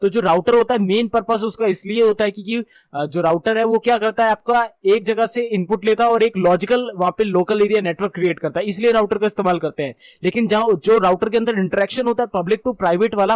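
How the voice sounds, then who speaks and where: A man's voice: sits high, dry recording. A man with an Indian accent giving an informal, explanatory technical lesson in a conversational way, repeating and rephrasing his points. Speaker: computer networking instructor. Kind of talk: informal explanatory lecture mixing Hindi and English.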